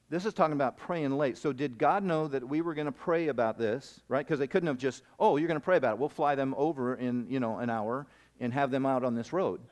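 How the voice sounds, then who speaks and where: A man tells a mission story to a camp crowd in a loose, rambling way. He restarts his sentences often. His voice sits at an ordinary pitch for a man. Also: a little mellow. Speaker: missionary speaker. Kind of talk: storytelling sermon. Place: camp meeting hall.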